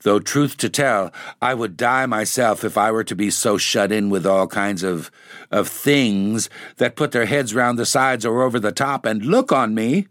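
A man reading aloud, voicing a timid elderly landlady who frets in a raised pitch. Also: a little slow, fairly bright tone, dry recording, clean studio sound. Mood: fretful.

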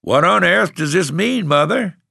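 A man reading aloud voicing a bewildered old farmer who speaks in halting gasps. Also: medium pitch, expressive, vocal fry, bright, forceful tone, no room sound, studio quality, voice pushed up, full character voice. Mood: shocked. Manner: gasping, halting speech.

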